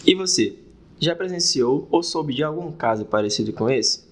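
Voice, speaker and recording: A man's voice: fairly high pitch, lively intonation, normal speed, faint music behind. A man presenting a podcast from a script, formal and orderly as he asks questions. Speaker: podcast host, interviewer.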